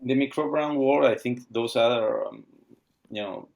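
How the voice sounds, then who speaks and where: A man, speaking haltingly as he searches for words while being interviewed about founding his watch brand. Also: mid-range voice, vocal fry, darkish tone, poor audio. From Argentina. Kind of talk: casual interview.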